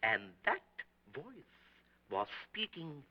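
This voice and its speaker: An actor playing an excitable middle-aged male restaurant owner with a German accent, in a 1930s film. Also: a little high, slow pace, heavily acted. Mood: eager. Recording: muffled, distant 1930s film sound.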